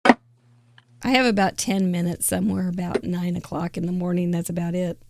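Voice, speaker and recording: A woman's voice: on the low side, fairly even intonation, moderate pace, slight background noise. A woman hosting a live crafting show, chatting playfully and laughing often. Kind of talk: casual live-stream chat.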